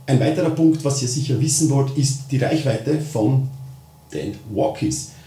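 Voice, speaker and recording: Male Austrian tutorial presenter, mid-pitched voice, informal step-by-step delivery, roomy echoing sound. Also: lively intonation, normal speed, warm tone. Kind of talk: spoken how-to explanation of a gadget.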